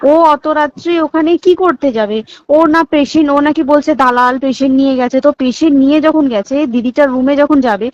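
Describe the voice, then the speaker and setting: A woman's voice: high-pitched, fairly quick, darkish tone, no echo. An Indian Bengali woman recounting things emphatically in a private phone call, repeating points and checking that the listener follows. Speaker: hospital staff member. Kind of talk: private phone conversation, recounting insider details. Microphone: phone call recording. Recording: dull lo-fi recorded phone call.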